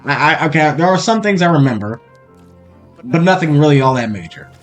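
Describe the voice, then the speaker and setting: A young man with a Boston accent talks through a game in loose, rambling commentary, often correcting himself. His voice sits fairly high for a man. Soft game music plays underneath. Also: expressive, brisk. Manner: rambling, self-correcting chatter. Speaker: let's play host. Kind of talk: casual gameplay commentary.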